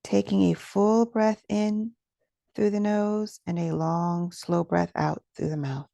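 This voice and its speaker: A woman leading a guided meditation as its teacher, speaking in a gentle, soothing, instructional manner with soft pauses. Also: lowish voice, level tone, vocal fry, no room sound.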